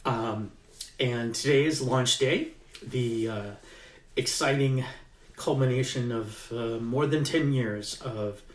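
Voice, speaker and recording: A man, an author, reading his own novel aloud in an unhurried way, pausing with fillers as he chats and leaning into the tense passages. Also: mid-range voice. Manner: unhurried, with halting fillers in the chat and livelier emphasis in the reading. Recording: echoey room, mic at a distance.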